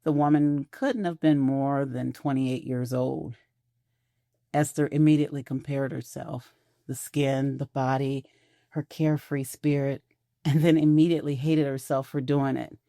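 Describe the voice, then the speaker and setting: A woman's voice: rumbling low, steady tone, unhurried, warm tone, no room sound, studio quality. A woman reading scripted narration for a romantic audio drama.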